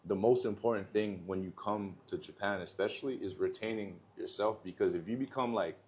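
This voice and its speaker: A man's voice: on the low side, a little mellow, slight background noise. Young man, slangy casual podcast guest. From Canada. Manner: slangy, loose, quick bursts with filler "like". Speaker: rapper guest.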